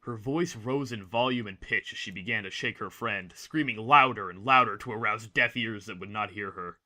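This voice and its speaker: A male narrator with a fairly low, crisp bright voice. He reads a scripted story in a dramatic storytelling style. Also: moderate pace.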